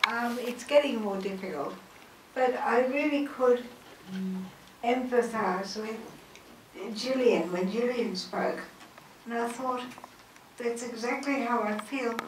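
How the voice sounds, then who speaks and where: A woman in her sixties, speaking slowly and haltingly in a weak, quiet voice into a handheld mic in an auditorium. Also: a little high, recorded from a distance. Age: 60s. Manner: slow, halting, effortful speech. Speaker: audience member. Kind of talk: personal statement at a forum. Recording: echoey hall, faint noise.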